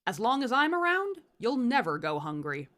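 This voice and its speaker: A woman voicing a grateful male wolf from a storybook, making solemn, measured vows. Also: on the high side, sing-song, fairly bright tone, pitched above the performer's own voice.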